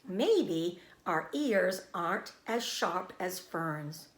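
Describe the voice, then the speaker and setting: A woman's voice: fairly low pitch, drawn-out words, echoey room. A woman playing an easygoing father who talks in an amused, reassuring way in a children's storybook. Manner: relaxed, reassuring.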